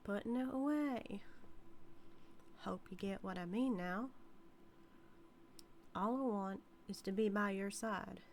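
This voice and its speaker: A woman's voice: medium pitch, takes it slowly, pauses often, a little hiss or hum. A woman playing an obsessive, dominant outlaw cowgirl in an audio roleplay, with folksy, drawling, teasing Western talk. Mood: devoted, menacing. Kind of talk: dramatic audio roleplay monologue to the listener.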